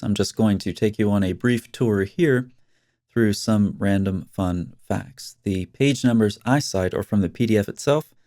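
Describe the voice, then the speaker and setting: A man's voice: lowish voice, mellow, no echo, clean studio sound. A man hosting a scripted commentary video, speaking in a sarcastic, mocking way.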